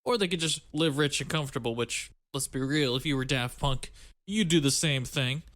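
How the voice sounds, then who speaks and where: A man with a bright, crisp-edged tone chats as a podcast co-host. His delivery is informal, wry and full of joking asides. He is recorded dry, with no room sound. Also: mid-range voice, normal speed, no echo. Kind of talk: casual banter.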